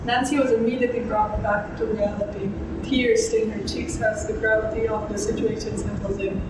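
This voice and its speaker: A woman's voice: mid-range voice, level tone, normal speed. A young adult woman reading scripted narration for a horror radio drama, stumbling and repeating words now and then. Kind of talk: scripted narration, read aloud. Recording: very echoey room, distant mic, noisy background.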